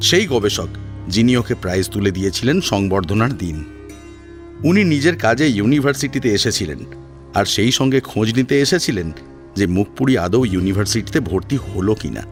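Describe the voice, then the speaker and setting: Deep-voiced, animated male storyteller. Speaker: story narrator. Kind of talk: dramatic audio story narration. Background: background music.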